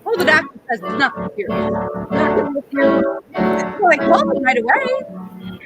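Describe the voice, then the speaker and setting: Woman acting high, bubbly doting wife and mother. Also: sing-song, moderate pace, loud music behind the voice. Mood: concerned. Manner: chatty, bubbly, concerned. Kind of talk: old-fashioned radio play acting.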